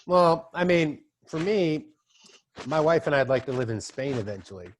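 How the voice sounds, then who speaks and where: A man's voice: a little high, expressive, normal speed, a little hiss or hum. A middle-aged man hosting a podcast, chatting casually and telling loose stories with asides. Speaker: podcast host. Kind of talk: casual conversation.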